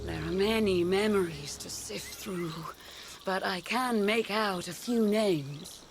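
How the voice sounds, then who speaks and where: A solemn mystic reads visions from old memories in slow, measured tones. Her pitch is middling, and a clear music score plays behind her. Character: mystic woman of a soul order, solemn and cryptic. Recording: game cutscene with music behind.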